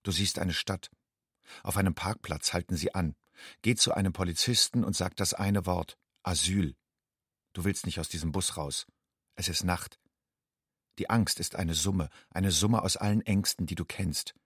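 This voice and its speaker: An actor playing a stark narrator in a literary audio drama, telling a refugee's fear in terse, matter-of-fact short sentences. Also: male voice, low voice, moderate pace, fairly bright tone, husky, no echo, studio quality, heavily acted. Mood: stark, uneasy.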